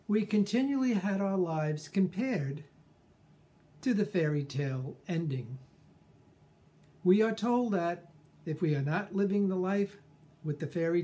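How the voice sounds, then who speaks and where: An older man speaks very slowly in a reflective, scripted monologue. His voice sits a little high for a man and has a soft, mellow tone. He measures out each phrase with pauses, speaking indoors at home to a camera about an arm's length away. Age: 60s or older. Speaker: personal growth speaker. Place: small furnished room at home, indoors.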